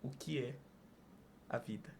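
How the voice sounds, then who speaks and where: A man hosting a podcast, speaking deliberately with emphatic pauses, in a conversational and sometimes poetic style. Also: a little hiss or hum. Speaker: podcast host. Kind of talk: conversational hosting and spoken-word poem recital.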